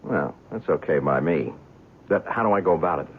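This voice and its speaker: A man's voice: low voice, animated, a little fast, husky, full character voice. An actor playing a brash, skeptical American newspaperman in an old-time radio drama, casual and wisecracking. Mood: breezy. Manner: breezy, colloquial, incredulous. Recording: tinny, noisy old radio recording.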